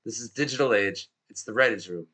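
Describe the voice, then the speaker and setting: A man with a hoarse, worn-out voice hosting a live music show in a chatty, pumped-up way. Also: on the low side, a little fast, pushed and bright, poor audio. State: hoarse, has lost his voice. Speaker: show host.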